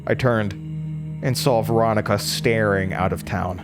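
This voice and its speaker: A man's voice: fairly low pitch, normal speed, natural voice. A man playing a shaken young college student, narrating a horror audio drama in a dramatic, haunted way that stammers under stress. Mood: dread. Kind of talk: horror audio drama first-person narration, acting out dialogue. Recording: music bed under the voice. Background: music.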